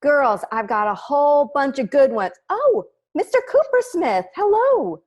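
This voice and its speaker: A woman's voice: high-pitched, sing-song, measured pace, a little mellow, highs cut off. A woman reading the part of a cheerful, oblivious suburban mom at a sitcom table read. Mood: chirpy.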